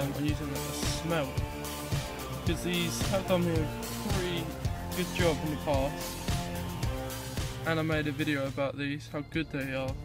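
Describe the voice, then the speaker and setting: Young guy, fishing vlogger, rambling outdoor chat at arm's length, voice a touch high, music bed. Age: young adult. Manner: chatty, rambling, addresses viewers as guys. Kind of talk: casual outdoor vlog. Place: riverbank outdoors in winter. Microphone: handheld camera at arm's length.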